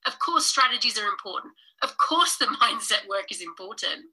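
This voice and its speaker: A woman's voice: a little high, bright, forceful tone, breathy edge, poor audio. A woman hosting a business podcast live stream, talking casually and enthusiastically to her viewers, a little nasal and snuffly from a cold. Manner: enthusiastic, chatty, addresses viewers as guys. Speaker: podcast host and business coach.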